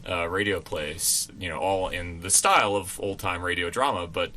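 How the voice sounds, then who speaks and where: A man's voice: deep voice, normal speed, pushed and bright. A man being interviewed as a radio theater performer, chatting casually in a rambling, conversational way. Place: college radio station.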